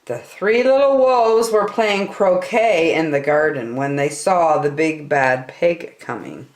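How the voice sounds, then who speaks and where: A woman reading a children's picture book aloud in an expressive, deliberate manner as a story time reader. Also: low-pitched, echoey room, rough recording. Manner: animated, deliberate.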